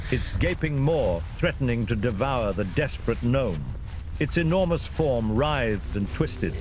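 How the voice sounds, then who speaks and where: A man's voice: medium pitch, noisy background. A man narrating a fantasy story in a dramatic, theatrical and suspenseful scripted style.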